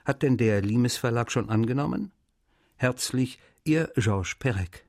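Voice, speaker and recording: A man's voice: mid-range voice, lively intonation, a little slow, creaky, a little mellow, slight background noise. An actor reading aloud as a polite, friendly male author in a dramatised letter. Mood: cordial.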